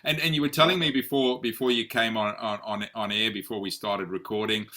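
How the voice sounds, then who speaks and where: A man's voice: on the low side, fairly even intonation, fairly quick, bright, forceful tone. A middle-aged man hosting an interview podcast, polite and friendly, often repeating words as he starts a sentence. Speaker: podcast host.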